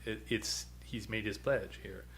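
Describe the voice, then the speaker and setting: A man's voice: fairly low pitch, fairly even intonation, vocal fry, fairly bright tone, slight background noise. Rambling man podcast host. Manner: rambling, halting, with fillers and chuckles. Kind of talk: casual chat recapping a TV episode.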